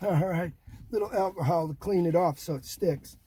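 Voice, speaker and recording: A man's voice: high-pitched, normal speed, dark tone, a little hiss or hum. An older man giving a casual hands-on install demonstration in short, folksy, punchy phrases, recorded inside a vehicle. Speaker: DIY vlog host. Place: inside a parked Jeep.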